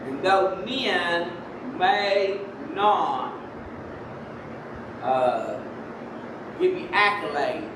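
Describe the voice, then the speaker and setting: High-voiced soft-spoken preacher in echoey church. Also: male voice. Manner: soft-spoken preaching, holds his voice down on the mic. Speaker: lay preacher. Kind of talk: sermon. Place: church sanctuary. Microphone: microphone. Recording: echoey church, distant mic, background noise.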